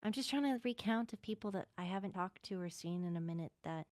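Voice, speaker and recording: A woman playing an inquisitive, easily flustered court healer in an improvised game roleplay, halting as she thinks aloud. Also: medium pitch, darkish tone, no echo, studio quality, natural voice. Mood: concerned, questioning.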